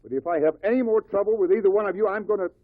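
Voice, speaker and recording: An actor playing a bitter, short-tempered husband, curt and sarcastic, in a 1950s radio drama. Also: male voice, high voice, very fast, no room sound. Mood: angry, threatening. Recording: tinny, noisy old radio recording.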